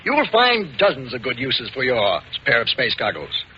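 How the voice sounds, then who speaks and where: A man reading a 1950s radio advertisement as an enthusiastic sales pitch. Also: huge pitch swings, moderate pace, sharp tone. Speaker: commercial announcer. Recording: dull, faintly hissy 1950s radio recording.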